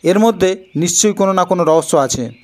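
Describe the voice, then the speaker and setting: A man's voice: high-pitched, quick pace, lightly acted. A man voicing an imperious older king in a fairy-tale cartoon, commanding and questioning, with a suspicious, threatening temper.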